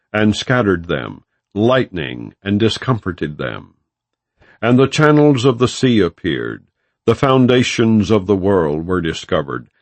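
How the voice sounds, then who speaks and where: A man reading scripture as an audiobook narrator, in a measured, solemn and formal delivery. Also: lowish voice, dry recording.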